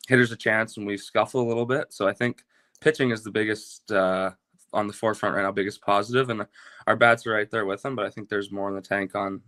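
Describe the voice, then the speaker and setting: A man's voice: on the low side, a bit bright, no echo. A young man speaking as a baseball coach in a casual interview.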